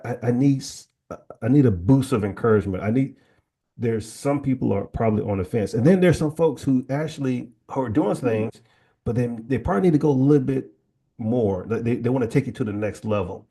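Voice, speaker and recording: Mellow-voiced male host, encouraging and chatty. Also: medium pitch, normal speed, breathy edge. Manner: encouraging, rambling, filler-heavy. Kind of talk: casual interview.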